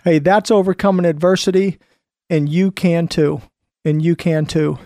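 A man's voice: on the high side, fairly even intonation, normal speed, warm tone, no room sound, studio quality. A man hosting a motivational radio talk show, emphatic and upbeat, repeating phrases for effect.

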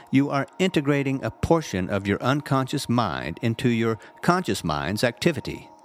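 A man reading a scripted inspirational spiritual text as a reverend, with measured, deliberate phrasing and emphatic pauses. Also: medium pitch, slight background noise.

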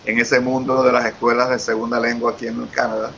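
A man's voice: medium pitch, level tone, brisk, gravelly, a bit bright. A man from Venezuela with a Venezuelan Spanish accent, chatting as an interview guest, effusive and eager, often repeating himself. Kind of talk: casual interview conversation. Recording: lo-fi with faint hiss.

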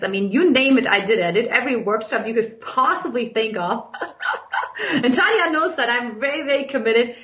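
German-accented woman guest, reflective storyteller, roomy muffled recording. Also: fairly high pitch. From Eastern Germany. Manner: earnest, reflective, expressive storytelling. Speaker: guest, dating coach. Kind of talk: podcast interview storytelling. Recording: echoey, dull lo-fi sound.

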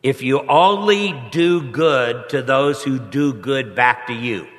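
A man's voice: slow pace, soft background music. Congested man preaching in a reverberant hall. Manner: rises in pitch and volume when pressing a point. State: stuffy head cold. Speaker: pastor. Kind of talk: sermon.

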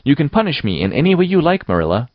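Guy doing a wounded stubborn little girl from a storybook, high pitched n dramatic, tearful, muffled recording. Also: very animated, quick pace, dry recording. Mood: dramatic, determined. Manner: melodramatic, tearful, defiant. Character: passionate, stubborn, wounded young red-haired girl.

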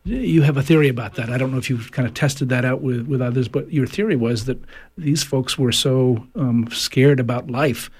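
A man's voice: mid-range voice, moderate pace, darkish tone, breathy edge. A man hosting an interview, asking hesitant questions full of fillers. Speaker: interview host.

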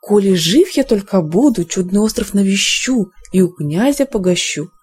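A woman's voice: mid-range voice, animated, moderate pace, darkish tone, husky. A woman voicing a hospitable, curious tsar in a verse fairy tale, in a stately, hearty manner. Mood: wondering.

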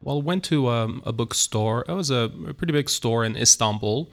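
Turkish-accented man, gravelly and halting. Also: mid-range voice. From Turkey. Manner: halting, many fillers and restarts. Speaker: church planter. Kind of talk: interview guest telling his story.

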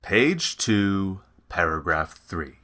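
A man voicing a menacing male goon enforcer who talks slowly and coldly. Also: low-pitched, sing-song, bright, forceful tone, pitched below the performer's own voice, heavily acted. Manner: slow, measured, coldly menacing.

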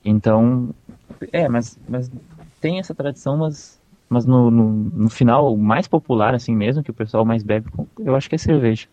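A man's voice: mid-range voice, normal speed, mellow, muffled recording, a little hiss or hum. Brazilian man with a slight southern accent. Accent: slight southern Brazilian Portuguese. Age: young adult. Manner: hesitant, repeats words while searching. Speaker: interviewee. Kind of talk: casual conversation.

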